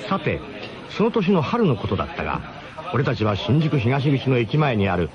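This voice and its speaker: An actor playing a brash, mock-grandiose expedition leader who narrates a comic radio drama in pompous formal phrasing. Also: male voice, medium pitch, sing-song, moderate pace, highs cut off, a crowd in the background, natural voice. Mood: mock-serious, lecturing.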